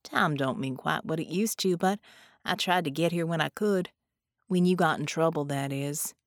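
A woman's voice: sits low, normal speed, no echo, clean studio sound. A woman voicing a young male ghost in an audiobook, wry and earnest, with a folksy, colloquial way of talking. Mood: reflective.

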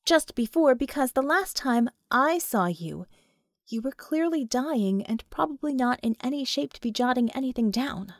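A woman's voice: high voice, animated, normal speed, dry recording, clean studio sound. A woman narrator voicing a frank, savvy female mage in an audiobook, matter-of-fact in manner. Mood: explanatory.

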